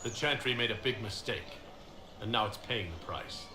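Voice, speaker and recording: Devout hero male actor for a fantasy game: lowish, blunt and firm, echoing, crowd murmur behind. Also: moderate pace, close to an everyday voice. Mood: firm, challenging. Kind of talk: fantasy video game dialogue. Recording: echoey, with crowd and birds behind.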